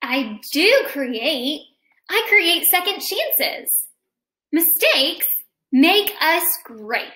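Woman acting small earnest female eraser, American accent, wistful, children's storybook, bright, unhurried. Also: high voice, very animated, roomy sound, exaggerated voice. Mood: earnest, proud. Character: earnest, unappreciated little female eraser longing to create. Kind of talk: children's storybook character reading.

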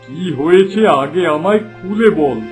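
Stern, high-voiced village headman. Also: lively intonation, unhurried, darkish tone, faint music behind. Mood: stern, exasperated. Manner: authoritative, exasperated.

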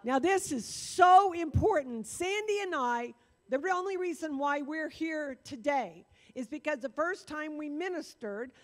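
A woman's voice: high-pitched, expressive, a little slow. An older woman minister preaching earnestly in a church meeting room, stretching key words for emphasis. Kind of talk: sermon.

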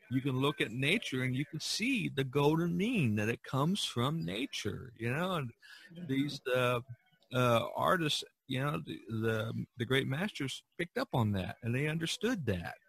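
A man hosting a podcast, talking casually about art in a halting, rambling way. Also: medium pitch, creaky, a bit bright, husky. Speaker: podcast host.

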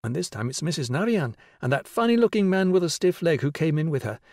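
A man voicing a kindly woman teacher in an audiobook, coaxing and instructing children with deliberate phrasing. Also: a little high, expressive, darkish tone, no echo, clean studio sound, voice pushed up. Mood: brisk, playful. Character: Susila, tall kindly woman teacher guiding children's pretend games. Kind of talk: audiobook character voice.

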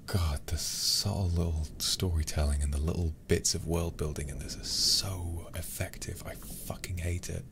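Male game streamer, ASMR style: deep and fry-laden, breathy, hushed muttering with casual profanity. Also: fairly even intonation, moderate pace, hardly any pauses, background noise. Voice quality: breathy, often whispered. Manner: hushed, muttering to himself, sweary. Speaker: livestreamer. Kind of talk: ASMR horror game livestream, casual commentary.

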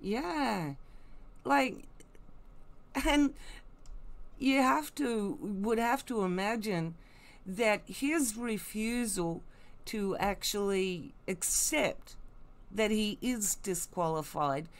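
A woman's voice: mid-range voice, animated, extremely slow, pauses often. A woman giving blunt, emphatic, mocking commentary, unscripted and opinionated. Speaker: online commentator.

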